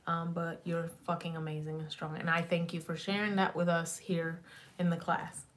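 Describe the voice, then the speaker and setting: A woman in her thirties chatting loosely on a beauty livestream, swearing freely, dropping in Spanish words, her slightly raspy voice going in and out. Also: lowish voice, flat delivery, moderate pace. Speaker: beauty vlogger. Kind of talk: rambling casual livestream chat. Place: small home beauty room, indoors.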